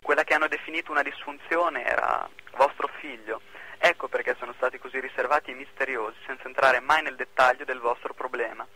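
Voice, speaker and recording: A man's voice: mid-range voice, fairly even intonation, brisk, pushed and bright, rough recording. A young man playing a mysterious, urgent informant over the telephone in an amateur radio drama. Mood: grave, urgent. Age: young adult.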